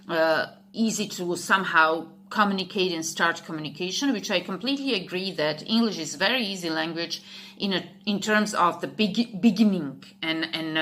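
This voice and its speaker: A woman with a Slovak accent, a native Slovak speaker, talking casually to camera as an English teacher, halting and restarting as she searches for words. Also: on the low side, sharp tone.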